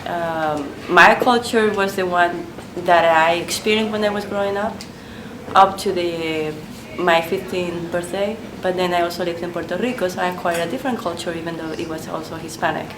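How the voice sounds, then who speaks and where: A woman from Spain with a Spanish accent, answering interview questions in a halting way as she searches for words. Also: fairly low pitch, steady tone. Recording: echoey room with background noise.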